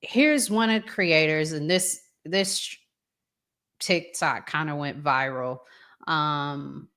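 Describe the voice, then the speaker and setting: A woman with a lowish voice hosts a casual talk show. She speaks at a very slow, unhurried pace, setting up topics in colloquial language. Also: fairly bright tone. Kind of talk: casual hosting, introducing topics.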